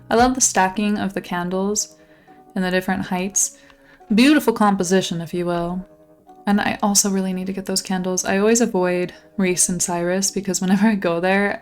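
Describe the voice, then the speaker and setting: A young woman giving casual, gushing commentary while she tours a game island, her voice a little worn from losing it lately. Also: medium pitch. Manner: bubbly, gushing, exclamatory. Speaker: channel host touring a game island.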